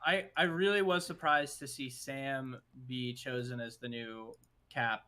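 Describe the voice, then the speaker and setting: A young man chatting casually in a group, with an animated, emphatic and slangy way of talking. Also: medium pitch, a little slow, clean tone. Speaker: podcast host.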